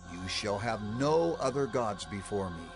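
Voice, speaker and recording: A man's voice: fairly low pitch, animated, unhurried, over music. An actor playing a male prophet and leader in a dramatized scripture reading, solemnly exhorting his people in an emphatic, oratorical manner. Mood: solemn command.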